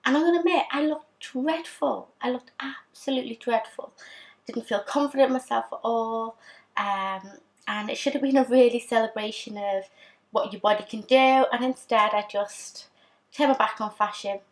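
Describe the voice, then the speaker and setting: A young woman vlogger chatting candidly to camera, her voice husky from a sore throat, with frequent ums. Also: a little high, moderate pace, rough recording. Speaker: fashion vlogger. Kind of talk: casual chat to camera.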